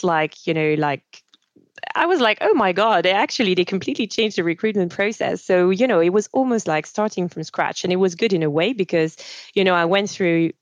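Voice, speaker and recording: Woman, low dry voice. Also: no room sound, highs cut off. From Switzerland. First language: French. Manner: thoughtful, many fillers and restarts. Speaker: guest, managing director. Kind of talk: casual interview conversation.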